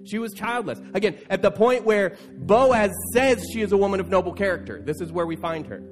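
A man's voice: sits high, a little fast, faint music behind. A man preaching as a pastor to his congregation, retelling scripture in a conversational, storytelling way and acting out casual paraphrased quotes. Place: church, speaking to an assembled congregation.

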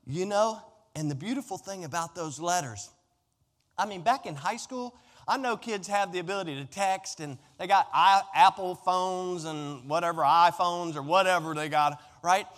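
American guy preaching, middle aged, kinda high voice, chatty but emphatic, lots of hall reverb. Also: moderate pace, fairly bright tone. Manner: conversational, emphatic, rhetorical questions to listeners. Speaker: pastor. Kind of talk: sermon. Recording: echoey hall with long reverb.

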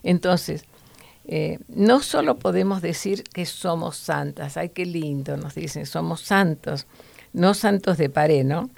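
A woman gives an earnest Bible teaching, stopping often to restart a phrase. Her voice is low and gravelly. The sound is close and dry. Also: animated, slow, a little mellow, no echo. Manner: earnest, preachy, with fillers and restarts.

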